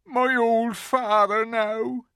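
A woman voicing a tearful, melodramatic male fawn in a children's storybook, full of self-reproach, with a woeful, drawn-out wail. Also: on the high side, flat delivery, clear, resonant tone, dry recording, studio quality, voice pushed up, heavily acted. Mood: wailing, ashamed. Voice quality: loud sobbing wail.

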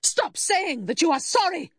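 A woman's voice: lowish voice, lively intonation, normal speed, slightly rough, dry recording, studio quality. A woman voicing a furious, grieving middle-aged mother in an audiobook, haughty, demanding and indignant. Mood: enraged shouting. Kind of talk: audiobook character acting.

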